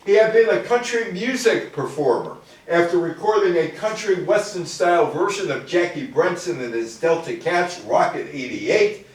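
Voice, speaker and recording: A man's voice: fairly high pitch, expressive, normal speed, few pauses. A man giving an informal history lecture to a live audience, putting rhetorical questions to his listeners. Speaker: lecturer, public speaker. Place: indoor room with a live audience. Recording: very echoey room, distant mic.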